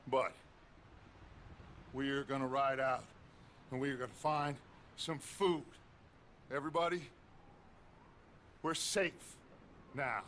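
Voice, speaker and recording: An actor playing a charismatic American outlaw gang leader in a video game, speaking in deliberate, weighty, oratorical phrases. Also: male voice, mid-range voice, steady tone, a bit bright, slight background noise. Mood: rousing, solemn. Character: charismatic male outlaw gang leader rallying his people. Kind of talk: dramatic video game acting.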